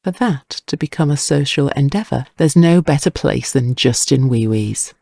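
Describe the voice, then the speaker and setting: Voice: mid-range voice, lively intonation, moderate pace, gravelly, a little mellow. A narrator reading scripted dramatic prose for an audio drama.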